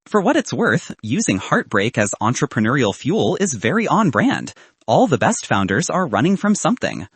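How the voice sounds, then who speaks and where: Audiobook role: confident young woman entrepreneur read by a man. Dry banter, animated, husky edge. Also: medium pitch, normal speed, dry recording, heavily acted. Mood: frank, probing. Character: confident, teasing young woman, a sharp student founder.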